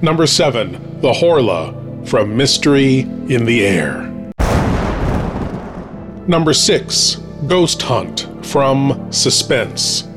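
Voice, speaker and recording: Male spooky-countdown presenter, mid register, deliberate and dramatic, over a music bed. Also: medium pitch, very animated. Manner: measured, theatrical, dramatic pauses. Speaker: horror host presenting a countdown. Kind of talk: scripted spooky countdown narration.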